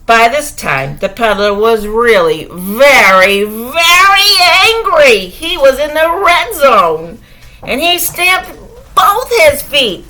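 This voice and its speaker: A woman tells a story to small children in a high, very lively voice. She takes her time, pausing for drama and putting questions to her young listeners. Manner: expressive, asks listeners questions, dramatic pauses. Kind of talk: animated read-aloud bedtime story for young children.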